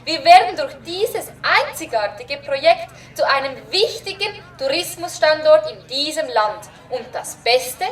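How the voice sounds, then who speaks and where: A woman's voice: high voice, recorded from a distance. A woman playing a proud, formal village official making a ceremonious, pompous public announcement in a children's audio drama.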